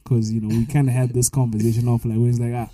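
A man's voice: mid-range voice, little pitch movement, mellow, no room sound. A man with a Zimbabwean accent co-hosting a podcast, arguing his point in casual debate and restarting often. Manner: talks over others, quick restarts. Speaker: podcast co-host.

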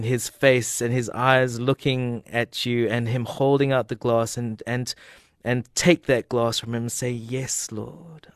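Smooth-voiced man, soft devotional speaker. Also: mid-range voice, no echo, clean studio sound. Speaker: guest, painter.

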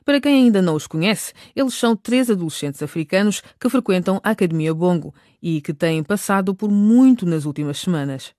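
A woman's voice: lowish voice, expressive, fairly quick, hardly any pauses, darkish tone, no echo. Close, dry female radio narrator. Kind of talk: scripted radio drama narration.

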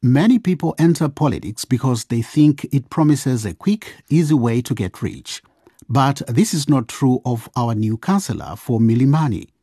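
A man narrates a scripted radio drama with expressive storytelling. His voice is husky and mellow with animated pitch, recorded very dry. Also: moderate pace, no room sound.

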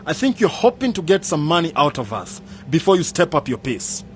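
A man's voice: a little high, sing-song, a little fast, slight background noise. An actor playing a hot-headed young man who argues insistently for his rights in a radio drama. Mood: accusing. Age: young adult. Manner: confrontational, insistent.

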